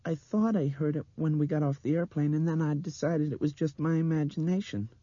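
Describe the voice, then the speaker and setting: Guy doing a scared dreamy little girl for an audiobook, really high trembly voice, kinda muffled recording. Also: brisk, warm tone, no room sound, lightly acted. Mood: intent, mimicking. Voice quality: breathy, trembling. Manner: dreamy, insistent. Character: frightened blind little girl, dreamy and eerily intense.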